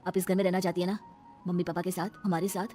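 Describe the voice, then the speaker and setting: A woman's voice: on the low side, flat delivery, fast, dark tone, lightly acted. A woman voicing a devoted, resolute young brother-in-law in a cartoon family melodrama, reassuring and insistent, with music behind.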